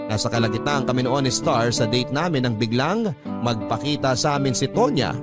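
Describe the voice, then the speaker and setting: A man's voice: mid-range voice, expressive, brisk, over loud music, lightly acted. A man playing a remorseful young lover, narrating his secrets in a scripted first-person radio drama. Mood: confessional, reflective. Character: remorseful young man confessing a double love life. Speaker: letter-sender narrator.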